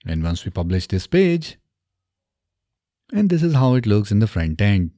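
A man in his thirties narrating a step-by-step software tutorial in a methodical, instructional way, close on a studio microphone. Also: lowish voice, very animated, normal speed, no room sound. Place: small indoor home studio. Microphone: close studio microphone on boom arm.

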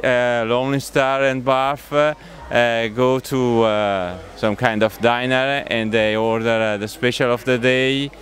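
A man with an Italian accent talks at a slow pace in a medium-pitched voice. He explains things casually, feeling for his words in hesitant phrases. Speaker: exhibitor, hobby model builder. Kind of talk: casual on-camera explanation of a display. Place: large busy exhibition sports hall. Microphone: handheld interview microphone.

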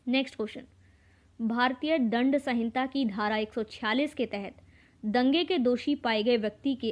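A woman teacher reading exam questions, options and answers aloud in a methodical way. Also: a little high.

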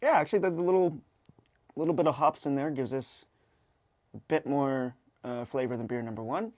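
A man in his thirties hosts a tasting in a casual, unscripted way. His mid-pitched voice is closely recorded with very little room sound. He talks haltingly, with a wry touch. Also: a little mellow, no echo. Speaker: host of a beer tasting show. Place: kitchen studio, indoors.

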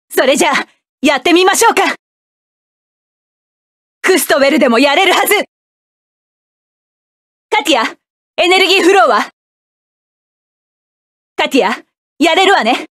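High, dry game voice: fierce proud young woman pilot, commanding battle shouts. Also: no room sound. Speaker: mecha pilot character. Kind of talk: video game battle voice lines.

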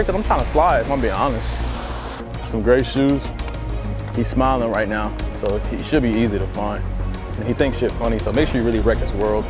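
A young American man doing a casual fake phone call as a prank, talking in slangy, profane language. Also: mid-range voice. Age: young adult. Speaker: prankster host of a hidden-camera show. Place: outdoors on a campus walkway.

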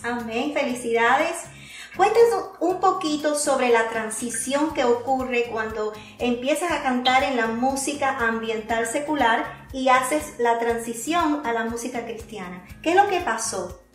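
High-voiced, unhurried woman hosting an interview. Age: middle-aged. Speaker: radio show host. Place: small indoor set with curtains. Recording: roomy sound with music behind.

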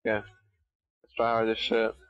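A young man streams a game on a headset in a small, dry room, his voice plain and mid-pitched. He chats casually, swears when things go wrong, and jokes with a friend on voice chat. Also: completely flat delivery, a little slow, a bit bright, dry recording, muffled recording. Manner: swears, thanks subscribers, jokey.

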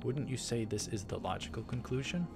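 A man voicing a composed, logical eighteen-year-old woman genius from a visual novel, in a measured, emphatic, scholarly manner. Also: mid-range voice, creaky, lightly acted. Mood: firm, logical. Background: game music.

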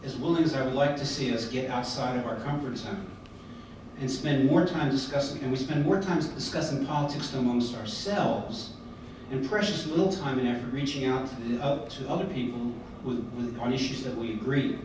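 A man's voice: mid-range voice, moderate pace. Man speaking in an echoey hall. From United States. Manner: earnest, with frequent fillers and restarts. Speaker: convention speaker. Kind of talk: prepared speech at a podium. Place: large hotel conference hall, indoors. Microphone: podium mic, filmed by a far camera. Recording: echoey hall from far off, background noise.

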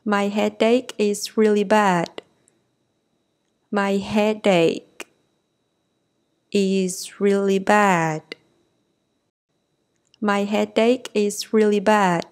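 A woman's voice: mid-range voice, steady tone, drawn-out words, pauses often. A woman reading short English phrases for learners. She splits each phrase into chunks and then repeats it whole. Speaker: English teacher.

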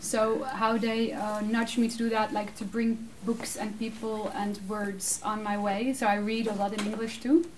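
A Dutch woman in her thirties answering audience questions in a casual, animated way, laughing often; English is her second language. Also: mid-range voice, unhurried, hardly any pauses. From Netherlands. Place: small room with curtained backdrop, seated. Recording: distant mic, faint room noise.

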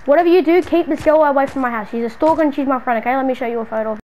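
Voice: sits high, racing, a little mellow, muffled recording, slight background noise, lightly acted. A young performer playing a brash, exasperated young man in an improvised game roleplay, slangy and repeating bro and man. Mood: casual, chatty.

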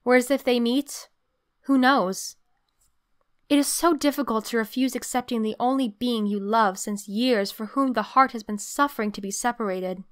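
A smooth, clear and rather high voice of an actress as an earnest aristocratic aunt in a period audio drama. She reads her letter with pious, pleading concern for young lovers. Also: moderate pace, lightly acted. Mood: pleading, hopeful. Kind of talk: dramatic reading of an 1890s letter.

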